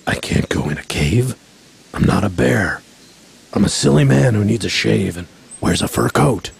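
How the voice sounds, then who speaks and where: A man's voice: fairly low pitch, sing-song, normal speed, gravelly, slightly rough, pitched below the performer's own voice, heavily acted. A man voicing a mild, bewildered bear in a storybook, pleading politely and hesitantly that he is sincere. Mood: forlorn.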